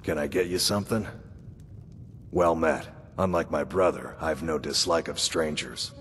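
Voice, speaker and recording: A man's voice: rumbling low, a little slow, fairly bright tone, slight background noise. A man playing a friendly trader of odd treasures in a video game, with a welcoming sales manner. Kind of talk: video game character acting. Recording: long hall reverb.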